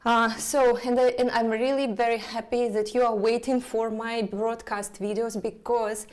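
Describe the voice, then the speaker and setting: A woman astrologer with a Russian accent, speaking haltingly with many fillers as she talks to viewers of her live stream. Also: on the high side, little pitch movement, a little mellow.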